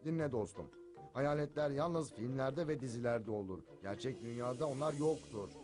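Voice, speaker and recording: A man's voice: medium pitch, moderate pace, natural voice. Cartoon dub: male actor as sarcastic, smug house cat, mid-range voice, theatrical delivery, music underneath. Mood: suspicious, scolding. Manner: wry, self-assured, theatrical.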